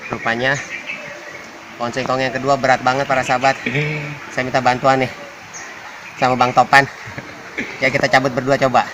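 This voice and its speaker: A man hosting a casual vlog, his voice hoarse and nasal from the flu as he talks to his viewers. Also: mid-range voice, completely flat delivery, moderate pace, long pauses, background noise. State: congested with flu. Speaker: vlog host.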